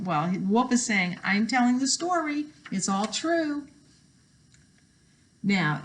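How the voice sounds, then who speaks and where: Woman, teacher reading to children. Lively, swooping intonation, emphatic storytelling with chatty asides. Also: mid-range voice. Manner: expressive, emphatic, drawn-out words. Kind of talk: animated children's read-aloud with asides to listeners.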